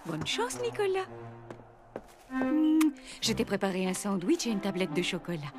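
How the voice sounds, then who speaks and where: A woman's voice: mid-range voice, sing-song, normal speed, music in the background, natural voice. A woman playing a motherly, sensible lady in a French cartoon, speaking in a firm yet caring, chiding way. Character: motherly, sensible woman who chides Father Christmas.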